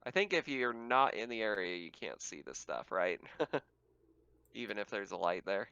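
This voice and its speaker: A man's voice: lowish voice, big pitch swings, moderate pace, gravelly, bright, forceful tone, clean tone, dry recording, muffled recording. A man narrating a tabletop game casually as game master, given to easy laughter.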